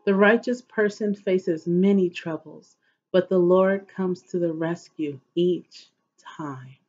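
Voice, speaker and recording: Middle-aged female devotional reader, slow and solemn, husky tone, dull home webcam sound. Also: lowish voice, a little mellow. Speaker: host of an inspirational reading series. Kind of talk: devotional scripture reading aloud. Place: living room at home, indoors.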